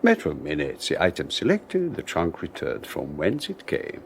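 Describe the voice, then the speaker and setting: An actor playing a suave, scheming husband in a 1980s radio drama, smooth, smug and mocking. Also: male voice, low-pitched, wildly swooping pitch, normal speed, slight background noise, heavily acted. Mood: ingratiating. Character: suave scheming male husband, middle-aged.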